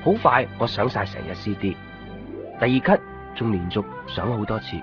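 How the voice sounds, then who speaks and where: A man's voice: fairly low pitch, normal speed, natural voice. An actor playing an introspective, solitary young man with a Hong Kong Cantonese accent, wry and understated in a radio drama. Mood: wistful. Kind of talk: radio drama monologue and dialogue. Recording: dull radio broadcast with music underneath.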